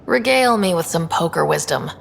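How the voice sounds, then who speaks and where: Space explorer hero, curious and plainspoken, creaky voice, big reverb on it. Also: female voice, lowish voice, expressive, fairly quick, slight background noise. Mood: casual, friendly. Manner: dry, casual, friendly. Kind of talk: video game dialogue acting.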